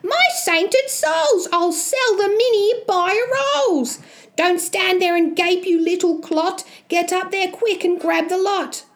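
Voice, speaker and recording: A sharp-tongued storybook mother, put on by a woman, scolds in a high, shrill voice. She berates and exclaims with loud indignation. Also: lively intonation, measured pace. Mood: greedy excitement.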